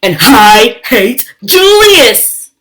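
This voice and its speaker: Lady doing a jealous bossy little mouse girl from a storybook, high and loud, super dramatic and scornful. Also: sing-song, very slow, exaggerated voice. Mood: haughty, disgusted. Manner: emphatic, declaring.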